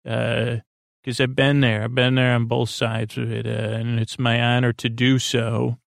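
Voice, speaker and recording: Male bedtime podcast host: creaky, mid-pitched, drowsy and meandering, soothing delivery. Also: little pitch movement, a little slow, no echo, studio quality. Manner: meandering, hesitant, stop-start; soothing, sleepy. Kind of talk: rambling bedtime podcast monologue.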